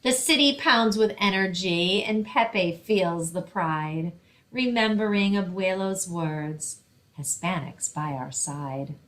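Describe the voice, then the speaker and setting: Woman, slightly low voice, children's librarian, simple instructive phrasing, picture-book read-aloud. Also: slow, a bit bright.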